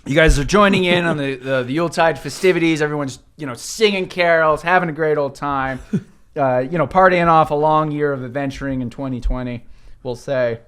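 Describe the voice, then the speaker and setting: A man's voice: on the high side, a little fast, fairly bright tone, clean tone. A man running a tabletop game as game master, narrating in a casual, jokey, conversational way.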